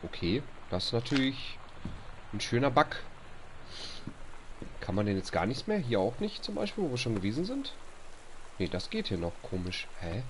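A man's voice: mid-range voice, very animated, moderate pace, long pauses, a little hiss or hum. A young man with a stuffy nose chatting casually over gameplay, reading on-screen text aloud and grumbling at bugs. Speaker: let's play host.

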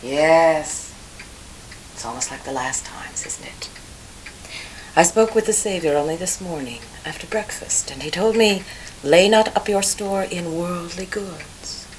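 Eerie, pious, low-voiced older shopkeeper. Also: female voice, expressive, unhurried, slight background noise, low-quality recording. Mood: ominous. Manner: ominous, drops into hushed whispers. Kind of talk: audio drama acting.

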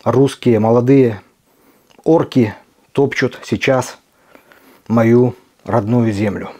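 Mellow-voiced man, earnest and emphatic. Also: mid-range voice. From Ukraine. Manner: earnest, emphatic, with deliberate pauses. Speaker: video blogger. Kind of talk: impassioned direct address to camera.

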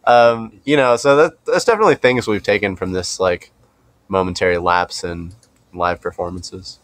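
Low-voiced young man chatting casually. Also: moderate pace, fairly bright tone, no room sound. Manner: rambling, peppered with "like". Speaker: indie rock band member, podcast guest. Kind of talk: casual chat.

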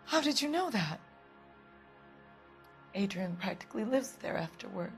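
Casting: prim, anxious American housewife, middle-aged, defensive and quick to take offence, game drama, clear music bed. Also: female voice, mid-range voice, sing-song. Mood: guarded, worried. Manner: defensive, easily affronted. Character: prim, anxious, indignant wife of a missing man. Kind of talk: dramatic game cutscene acting.

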